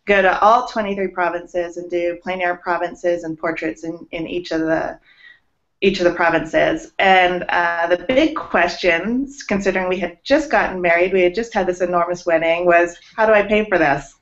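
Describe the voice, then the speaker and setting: A woman's voice: lowish voice, steady tone, moderate pace. Chatty woman on a muffled video call. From Los Angeles, USA. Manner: chatty, laughs often, many ums. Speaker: guest artist. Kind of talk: casual interview talk. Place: home studio.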